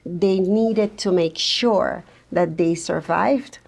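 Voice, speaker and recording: Slow, gravelly Surinamese woman guest. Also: lowish voice, darkish tone. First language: Dutch. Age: middle-aged. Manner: slow, reflective, thoughtful with frequent pauses. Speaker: guest social worker. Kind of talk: podcast interview.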